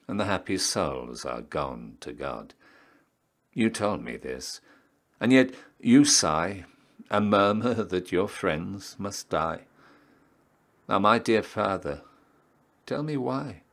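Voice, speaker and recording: A man with a low-pitched voice gives a slow, dramatic poetry recitation. His phrasing is solemn and theatrical, and the ends of his lines often sink into a hush. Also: very animated. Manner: measured, solemn, theatrical phrasing with hushed line endings. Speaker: poetry reader, town crier.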